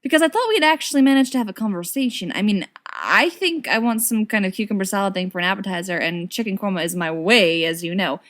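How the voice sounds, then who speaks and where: A woman's voice: mid-range voice, animated, fast talker, hardly any pauses, a bit bright, close to an everyday voice. A woman voicing an earnest, flustered young man in an audiobook-style dialogue reading.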